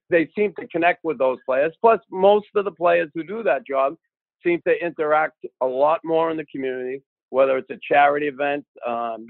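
An older man with a Boston accent, a guest on a podcast, telling stories in a gruff, casual way with frequent restarts. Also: on the high side, dry recording. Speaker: podcast guest, former hockey enforcer. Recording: tinny phone-like line, dry.

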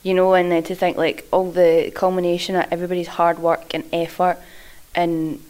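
A woman's voice: fairly low pitch, flat delivery, normal speed, a little mellow. A woman joking and laughing through a casual interview as a guest. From Scotland. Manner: jokey, laughs often. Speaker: guest wrestler.

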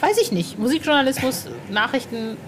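A woman's voice: sits high, fairly quick. A woman hosting a casual podcast interview, speaking in a cheeky, irreverent and slangy way. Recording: clearly noisy background ambience.

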